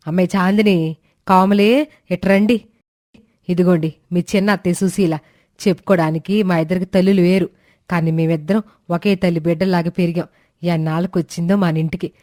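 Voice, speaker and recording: Lady doing a doting middle-aged mother-in-law in a moral tale, slightly low, dry sound. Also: fairly even intonation, a little fast, long pauses, a little mellow, no echo, lightly acted. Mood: affectionate. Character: affectionate middle-aged mother-in-law, proud of her family.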